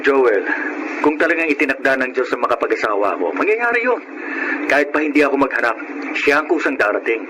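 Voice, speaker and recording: A humble, earnest taxi driver played by a man in a radio drama. He speaks politely and modestly at a middling pitch, over a clearly noisy recording. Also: very animated, a little fast, close to an everyday voice. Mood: firm, earnest. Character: humble, honest bachelor taxi driver, eldest brother. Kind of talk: Filipino radio drama acting.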